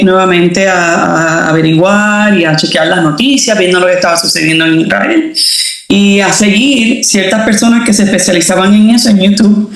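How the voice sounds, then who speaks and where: A woman from Puerto Rico with a Puerto Rican accent, a doctor sharing her faith story as a guest, relaxed and full of fillers. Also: mid-range voice, very echoey. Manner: relaxed, many fillers and restarts. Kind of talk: casual faith testimony.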